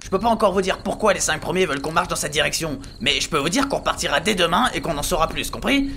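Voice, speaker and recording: A high-voiced, self-satisfied chief, played by a man, coaxes and snaps at his gang over background noise. He sounds curt and evasive, in comic audio-play style. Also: expressive, fast talker, gravelly, sharp tone, full character voice. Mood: defensive, authoritative. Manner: curt, coaxing authority. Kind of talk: comic audio drama acting.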